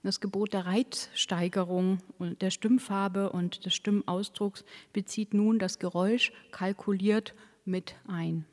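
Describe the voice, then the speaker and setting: A woman delivers a formal academic lecture at a mid-range pitch, reading carefully composed sentences in a measured way. She sometimes stops to correct a word before moving on. Her voice carries a long reverb, as if from a large lecture hall. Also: steady tone. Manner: measured, academic phrasing with occasional self-corrections.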